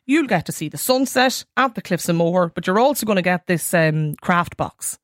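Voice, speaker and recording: A woman's voice: on the low side, expressive, normal speed, no echo, studio quality. A woman with an Irish accent hosting a radio phone-in, chatting in a wry, conversational way and prompting callers with questions. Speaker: radio talk show host.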